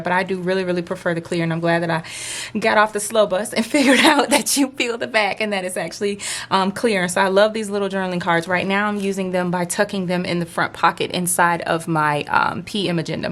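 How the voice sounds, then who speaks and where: A woman's voice: mid-range voice, fairly quick, fairly bright tone. A woman, chatting casually through a planner unboxing, a bit stuffy from a sinus cold, rambling and friendly with lots of fillers. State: slightly stuffy, congested from sinus trouble. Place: indoors at a desk under lights. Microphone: overhead camera, hands only.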